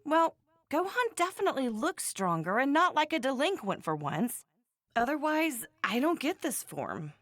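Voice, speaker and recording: A woman voicing a doting, fretful and strict mother in an animated video game, fussy and emphatic. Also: sits high, normal speed, no echo, clean studio sound. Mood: puzzled. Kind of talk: animated game character dialogue.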